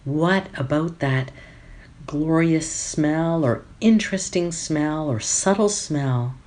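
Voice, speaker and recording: A woman leading a guided meditation as a teacher, giving gentle instructions phrase by phrase with long pauses. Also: low voice.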